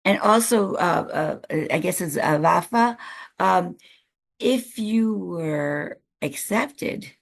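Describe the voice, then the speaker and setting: Low, creaky-voiced woman advising applicants. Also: lively intonation, slow pace. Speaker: program staff member advising applicants. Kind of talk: informal explanatory question-and-answer talk.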